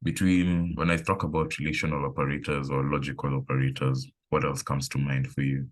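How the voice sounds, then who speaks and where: Very deep-voiced man teaching code. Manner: relaxed, thinking aloud with pauses and fillers. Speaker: coding instructor. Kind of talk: live online coding lesson.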